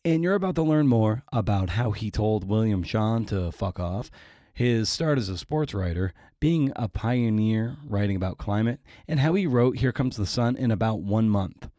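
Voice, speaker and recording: A man's voice: lowish voice, expressive, moderate pace, warm tone, no room sound, clean studio sound. A man hosting a podcast, delivering a casual, irreverent intro with self-mocking jokes. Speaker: podcast host.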